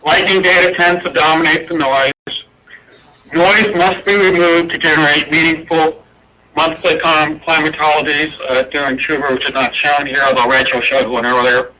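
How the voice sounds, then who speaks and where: A man with a fairly high, bright voice gives an unscripted technical presentation. He explains details informally, as a conference presenter would. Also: level tone, normal speed, poor audio. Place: indoor presentation room with projected slides.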